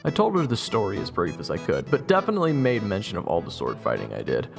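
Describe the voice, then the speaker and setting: A man's voice: on the low side, huge pitch swings, fast talker, vocal fry, over music, heavily acted. A man playing a cocky, flippant young swordsman who narrates his own adventure in an audio drama. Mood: matter-of-fact. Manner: flippant, boastful. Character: cocky young rogue swordsman, evasive and sarcastic. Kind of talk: first-person audio drama narration and dialogue.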